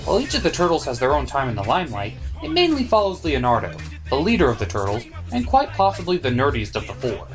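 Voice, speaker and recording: A man's voice: mid-range voice, huge pitch swings, quick pace, few pauses, music in the background. A man narrating a scripted cartoon review as host, opinionated and emphatic.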